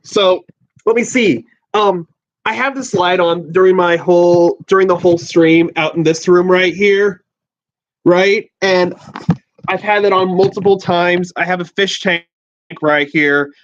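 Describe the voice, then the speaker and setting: Young man in his twenties, excitable livestream host, slow halting speech, muffled lo-fi sound. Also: high-pitched. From USA. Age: 20s. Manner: excitable, swearing, exclaiming, halting restarts. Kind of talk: excited casual livestream chat. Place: small room at home with a fish tank. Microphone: laptop and handheld phone. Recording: dull lo-fi stream audio.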